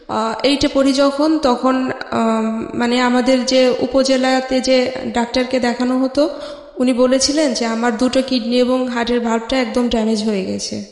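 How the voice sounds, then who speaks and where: An interview guest from Bangladesh telling a personal life story in a hesitant, halting way with frequent pauses. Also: sits high. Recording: echoey hall with long reverb.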